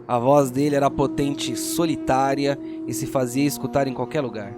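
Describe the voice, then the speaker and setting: A man with a Brazilian accent hosting and narrating a tabletop RPG audio drama as game master, in a theatrical, deliberate storyteller's manner. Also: medium pitch, fairly even intonation. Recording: music playing behind the voice. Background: music.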